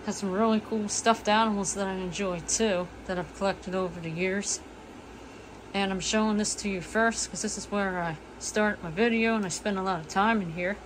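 A woman's voice: medium pitch, fairly even intonation, normal speed, noisy background. A woman in her late twenties giving a casual tour of her small home, talking plainly and listing things as she walks, recorded on a phone. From eastern United States. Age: 20s. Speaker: vlogger. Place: small manufactured home, indoors. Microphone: phone camera, front-facing then walking.

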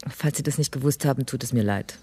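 Dry-witted frank spinster, compassionate, breathy low voice, radio play. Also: female voice, fast talker, dark tone. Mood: sympathetic. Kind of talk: radio drama acting.

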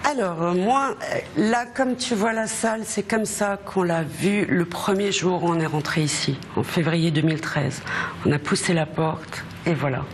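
Female restaurateur, middle-aged, informal interviewee, pausing to find words, clear ambient noise behind. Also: fairly low pitch. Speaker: restaurant co-owner. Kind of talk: casual interview answers. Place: restaurant dining room.

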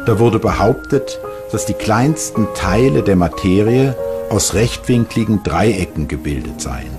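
A thoughtful physicist recalling his youth in a documentary radio play. The delivery is measured and literary, in a fairly low male voice. Music plays clearly behind him. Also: lively intonation. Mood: reflective. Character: young physicist reading his reflective memoir aloud. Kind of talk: scripted first-person memoir reading.

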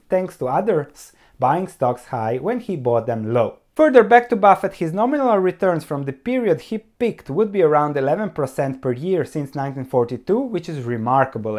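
A man in his thirties talking straight to camera about investing, his pitch rising and falling with a lot of life. He punches his points with emphasis while gesturing, and a lapel mic keeps him close and clear in a small indoor room. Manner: animated, gesturing with both hands, emphatic. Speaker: investing video host. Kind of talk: solo explainer to camera.

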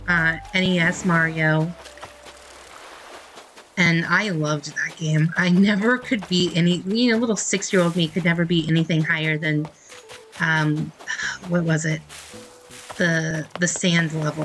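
A woman's voice: lowish voice, a bit bright, poor audio. A woman in her thirties chatting casually as she streams a game, rambling and thinking aloud. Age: 30s. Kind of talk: casual chat while playing a game.

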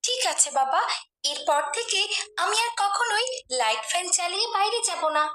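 Brief: female actor as sulky young cartoon daughter, very high bright voice, noisy track with clicks. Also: lively intonation, normal speed, echoey room, heavily acted. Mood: apologetic. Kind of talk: cartoon dialogue.

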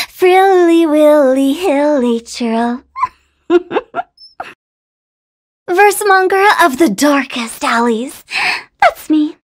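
A woman's voice: sits high, a little mellow. A woman voicing a playful, eccentric young female funeral director in a video game, with a theatrical, teasing, sing-song delivery. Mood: sing-song silly, then matter-of-fact. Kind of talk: video game character voice lines.

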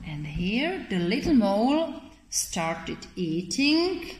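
A woman in her thirties tells a picture-book story to small children in simple, expressive phrases, asking questions as she goes. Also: mid-range voice, slow. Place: indoor children's classroom. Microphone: camera a short distance away. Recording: roomy echo, faint background noise.

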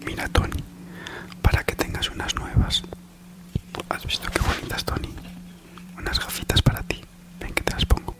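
Casting: man, Spain accent, breathy whispered ASMR parody, soothing and teasing. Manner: slow soothing delivery with mock-reassuring teasing. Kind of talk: whispered ASMR comedy monologue. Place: small living room at home, on a sofa.

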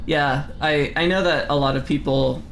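A young man from the United States chatting casually with his stream chat while gaming at home, reading messages aloud and breaking into sudden loud exclamations. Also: a little high, steady tone, normal speed, a little hiss or hum. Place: home room, seated in desk chair.

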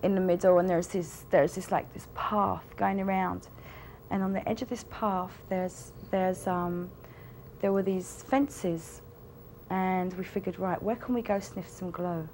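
A woman's voice: on the low side, steady tone, a little slow, darkish tone, a little hiss or hum. A young woman telling a personal story to the camera in a rambling way, full of fillers. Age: young adult. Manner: rambling, with many fillers and restarts. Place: indoor studio with a plain wall.

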